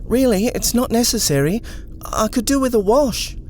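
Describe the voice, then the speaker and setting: Young heroine, polite and reserved, voiced high by a male narrator. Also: animated, moderate pace, a little hiss or hum. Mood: reluctant. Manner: polite, quietly reluctant. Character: polite, reserved young woman newly arrived.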